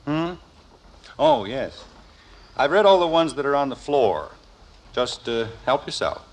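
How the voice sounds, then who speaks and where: A man's voice: fairly high pitch, big pitch swings, a little slow, creaky, heavily acted. An actor playing a stubborn, exasperated middle-aged father in a 1950s radio sitcom. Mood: grumbling, absorbed. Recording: hissy old 1950s radio broadcast.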